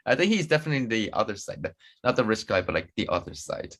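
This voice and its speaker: A rather low-voiced young man from Korea speaks with a Korean accent shaded by British vowels. He chats casually, stopping and restarting his sentences and laughing easily. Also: sing-song, fairly bright tone. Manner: halting, many restarts, laughs often. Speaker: co-host. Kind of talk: casual video-call chat.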